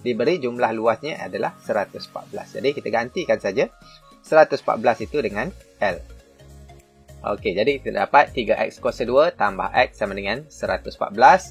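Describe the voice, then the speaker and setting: A man's voice: on the low side, brisk, slightly rough, faint music behind. A man with a Malaysian Malay accent teaching maths, working through textbook examples step by step with fillers and self-corrections. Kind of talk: explaining a textbook lesson.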